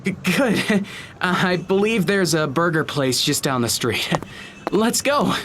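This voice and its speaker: An actor playing an awkward, polite teenage high school boy in an audio drama, speaking hesitantly with small stammers. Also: sing-song, slow, noisy background, full character voice. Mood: awkward, eager. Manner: hesitant, stammering, nervous chuckles.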